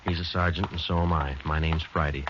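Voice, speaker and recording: An actor playing a matter-of-fact police detective sergeant in a 1940s radio drama, speaking in a clipped, deadpan way. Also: male voice, very deep voice, brisk, noisy background. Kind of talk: 1940s radio acting, first-person narration. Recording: muffled old radio recording.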